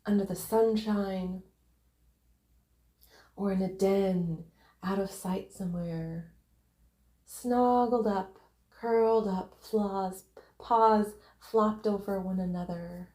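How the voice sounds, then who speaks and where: A woman narrating a guided visualization in a meditative way, speaking in short, separated, breathy phrases. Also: medium pitch, fairly even intonation, slow pace, long pauses, dark tone, reverberant.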